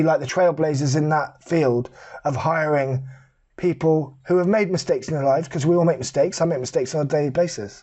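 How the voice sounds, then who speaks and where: Middle-aged man, slightly high-pitched voice. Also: a little mellow. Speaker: charity ambassador telling personal story. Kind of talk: candid personal testimony to camera.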